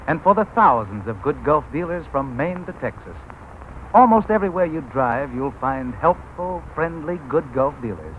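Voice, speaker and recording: A man with an American accent announces in a formal 1940s radio style. His voice sits fairly high, with emphatic, measured phrasing. The recording is dull and slightly noisy, like an old broadcast. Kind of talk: 1940s radio announcing, scripted sponsor message.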